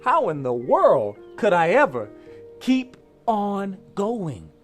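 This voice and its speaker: A man's voice: sits high, wildly swooping pitch, takes it slowly, soft background music. An American man presenting a children's read-along storybook, encouraging and emphatic as he invites listeners to join in. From Louisiana, USA.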